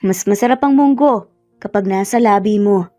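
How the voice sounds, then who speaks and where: A woman's voice: mid-range voice, moderate pace, dark tone, natural voice. A Filipino-accented woman voicing a teasing, cocky young husband in a romance story. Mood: smug, playful. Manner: smug, teasing. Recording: slightly muffled, music bed underneath.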